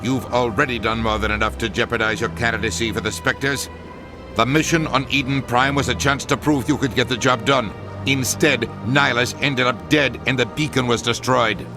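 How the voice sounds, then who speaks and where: A man's voice: mid-range voice, steady tone, normal speed, natural voice. An actor voicing a stern, lecturing male ambassador. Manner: stern, scolding. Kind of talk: video game voice acting. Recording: game audio over music.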